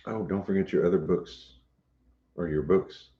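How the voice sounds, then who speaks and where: A man's voice: deep voice, moderate pace, recorded from a distance. A man co-hosting a homestead livestream, casual and teasing. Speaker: homestead channel co-host. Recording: roomy, dull livestream audio.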